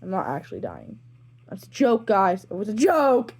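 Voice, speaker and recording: A woman's voice: medium pitch, wildly swooping pitch, moderate pace, a little mellow, breathy edge. A woman with an informal manner, reading her own fan fiction and chatting to listeners as an amateur narrator. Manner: informal, self-deprecating, rambling. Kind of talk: amateur story narration and casual chat to listeners.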